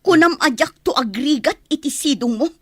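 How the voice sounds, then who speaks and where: A woman's voice: high-pitched, lively intonation, moderate pace, no room sound, clean studio sound. A woman with a northern Philippine accent playing a scolding, argumentative village mother in a comedy radio drama. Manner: nagging, argumentative. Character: scolding, sharp-tongued village mother who gambles.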